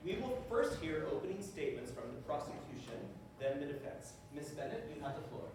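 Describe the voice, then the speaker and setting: A man's voice: a little high, very animated, a little fast. A man playing a self-assured, wry judge in a stage reading, speaking in a formal, declamatory way. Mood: wry, stately. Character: Judge Jubal Harshaw, self-assured, wry literary courtroom judge. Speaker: stage actor. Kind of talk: theatrical readers theater monologue. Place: stage in an echoey room. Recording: very echoey hall, distant mic, noisy.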